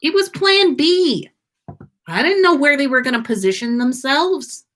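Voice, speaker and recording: A woman's voice: sits high, expressive, unhurried, a bit bright, pitched above the performer's own voice. A woman voicing an annoyed, defensive young man in a story reading, with an indignant, emphatic delivery.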